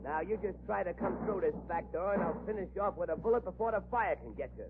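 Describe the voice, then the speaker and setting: An actor playing a ruthless, bullying arsonist gang boss in a 1940s radio crime drama. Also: male voice, high voice, lively intonation, fast talker. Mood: frantic, urgent. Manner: snarling, bullying commands. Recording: scratchy old radio broadcast, muffled, hum.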